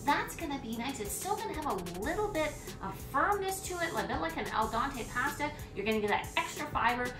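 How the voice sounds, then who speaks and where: A middle-aged woman talks through a recipe in her home kitchen, chatty and thinking aloud as she cooks. Her voice sits slightly high. The room is echoey, and music plays clearly underneath. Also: very animated, a little fast, hardly any pauses. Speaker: cooking vlogger. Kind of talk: casual cooking demonstration.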